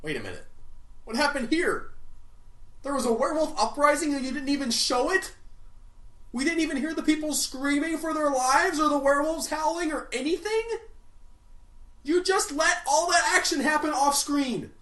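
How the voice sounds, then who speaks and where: Sarcastic male movie reviewer, lively pitch. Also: moderate pace. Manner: sarcastic, swings into loud outraged rants. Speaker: film reviewer. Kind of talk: comedic movie review narration. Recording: roomy echo, mic at a distance.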